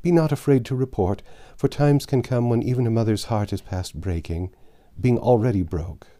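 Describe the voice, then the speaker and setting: A man's voice: mid-range voice, normal speed, dark tone, lightly acted. Casting: male narrator as gaunt dying peasant wife, storybook tale, archaic and fervent, full of bitter grief. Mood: weary pleading. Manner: impassioned archaic speech.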